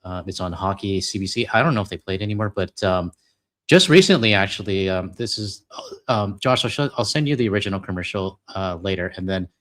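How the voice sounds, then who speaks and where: Chatty Canadian man, low voice. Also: fairly quick, fairly bright tone. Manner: rambling, chatty, self-deprecating, many fillers. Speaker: co-host reading donations. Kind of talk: casual live-stream chat.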